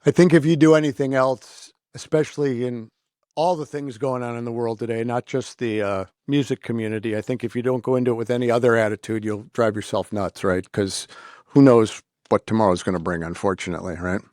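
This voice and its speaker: A man's voice: medium pitch, fairly even intonation, a little fast, a little mellow, no room sound, clean studio sound. A middle-aged man hosting a casual interview in a chatty, rambling way. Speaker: podcast host.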